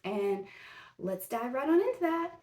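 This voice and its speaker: A woman's voice: on the high side, very animated, measured pace, a little mellow, echoey room. A woman with a Middle Tennessee Southern accent hosting a true crime podcast in a folksy, rambling, self-deprecating way, full of fillers. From Tennessee, USA. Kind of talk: casual chatty podcast. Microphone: camera and mic.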